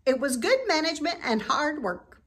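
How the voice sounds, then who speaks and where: Woman doing a high, proud mother goose. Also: expressive, normal speed. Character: proud, brisk mother goose, sharp-tongued.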